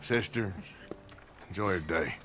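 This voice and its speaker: An actor playing a gruff male outlaw in his thirties with dry, low-key humor. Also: unhurried, a bit bright. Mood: steady. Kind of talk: video game cutscene acting. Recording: game audio with street noise. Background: street noise.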